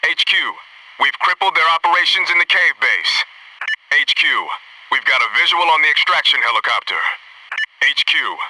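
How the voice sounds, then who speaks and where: An alert, disciplined Navy SEAL in a military game. His voice is sharp and very bright, pitched a little high for a man. He reports in a clipped, urgent, businesslike way, slightly off the mic with faint noise. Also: far from the mic, low-quality recording. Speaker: voice actor in a military video game. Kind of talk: scripted military game dialogue, tactical radio reports.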